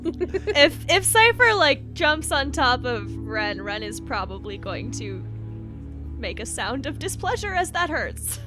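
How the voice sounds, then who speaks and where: A woman banters casually while role-playing in a tabletop game. Her voice is high and animated yet unhurried, with dry teasing and faint music behind it.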